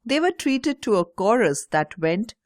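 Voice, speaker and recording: A woman narrating a scripted children's story in a measured, carefully enunciated read-aloud manner. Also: fairly high pitch, very animated, no room sound, clean studio sound.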